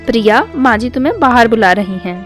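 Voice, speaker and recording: A woman's voice: a little high, animated, a little fast, faint music behind, lightly acted. A woman voicing a sly, gossipy young daughter-in-law who feigns innocence in a storybook tale. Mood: sly tattling.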